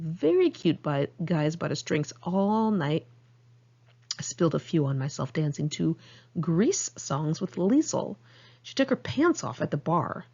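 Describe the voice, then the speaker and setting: A woman's voice: on the low side, a little mellow, dull audio. An American woman reading her own diary-style memoir aloud in a candid, conversational way. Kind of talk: audiobook narration of diary entries.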